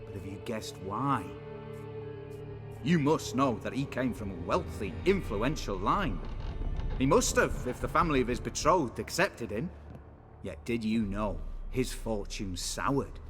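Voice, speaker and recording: An actor playing a blind, learned man who speaks formally and ominously, telling dark tales in a video game. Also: medium pitch, expressive, a little slow, lightly acted. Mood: knowing, grim. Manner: formal, theatrical storytelling. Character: blind, fearful old scholar, learned and ominous. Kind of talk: dramatic video game dialogue. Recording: music playing behind the voice. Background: game music.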